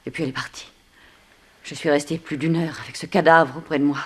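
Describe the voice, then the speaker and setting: Refined captive, very fast, low-pitched. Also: female voice, fairly even intonation, breathy edge. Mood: dramatic, urgent. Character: earnest, refined captive woman pleading for trust. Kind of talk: 1960s French radio drama acting.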